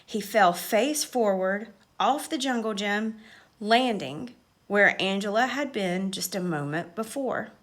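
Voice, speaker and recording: A woman reading a children's story aloud with expressive, measured, dramatic narration. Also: mid-range voice.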